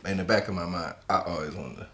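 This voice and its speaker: A man's voice: low voice, fast, pushed and bright, a little hiss or hum. A young man hosting a casual interview, talking in a loose, slangy, profane way. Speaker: host.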